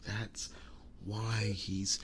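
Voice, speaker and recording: A man acts out a wounded werewolf boyfriend in an ASMR roleplay, devoted and earnest. His low voice moves slowly and haltingly, often slipping into breathy, tender whispers. There is clear noisy ambience behind him. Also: level tone. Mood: earnest, reassuring. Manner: slow, halting, soft-spoken reassurance. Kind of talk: intimate ASMR roleplay monologue.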